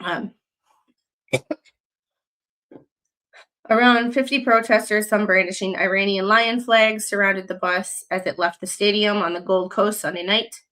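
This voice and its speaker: A woman's voice: medium pitch, fairly even intonation, a little slow, long pauses, bright, forceful tone. A young adult woman from the United States, hosting a casual livestream, talking in a rambling way with many fillers and restarts, and reading news excerpts aloud. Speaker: livestream host.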